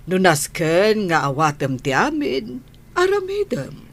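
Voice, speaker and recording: Slow-spoken motherly auntie. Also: on the low side, wildly swooping pitch, heavily acted. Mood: gentle, reassuring. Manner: motherly, advising, reassuring. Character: caring, motherly middle-aged auntie, a bar boss. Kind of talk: radio drama acting.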